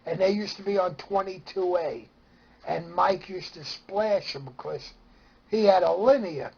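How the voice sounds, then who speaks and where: Middle-aged Brooklyn man, gravelly and creaky, unhurried excitable storyteller, lo-fi sound. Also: high-pitched. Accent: Brooklyn New York. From Brooklyn, New York. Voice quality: raspy, gravelly. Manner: excitable, acts out remembered dialogue. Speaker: interview guest. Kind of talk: animated casual storytelling. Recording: dull lo-fi sound.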